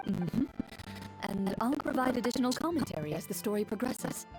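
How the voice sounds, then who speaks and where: Reserved formal swordswoman voiced by a woman, steady mid-pitched voice, soft music under. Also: natural voice, low-quality recording. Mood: calm. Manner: calm, measured, reserved. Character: composed, formal female champion duelist.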